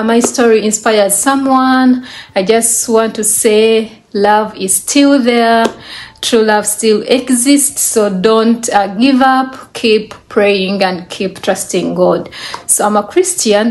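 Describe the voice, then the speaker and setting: A young woman from Uganda, appearing as a guest, tells her own story at home. She speaks earnestly and often hesitates mid-sentence, in a voice that is neither high nor low. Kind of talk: personal story telling. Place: indoors, living room.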